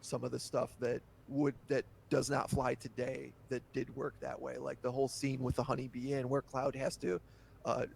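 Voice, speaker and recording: Gravelly-voiced man, candid and chatty. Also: medium pitch, little pitch movement, moderate pace, a little mellow, slight background noise. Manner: candid, chatty, breaks into laughter. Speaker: podcaster guest. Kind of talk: casual interview chat.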